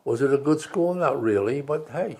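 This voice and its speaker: A man's voice: mid-range voice, expressive, normal speed, mellow, slightly rough. A man around ninety, a veteran telling wry stories of his youth in an oral history interview. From New York City. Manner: storytelling, chatty, wry.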